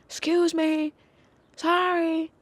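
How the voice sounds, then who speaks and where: A podcaster mimicking an impatient woman shopper who shouts across a store. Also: level tone, slow pace, no room sound, exaggerated voice. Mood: calling out. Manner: high, shrill calling out from a distance.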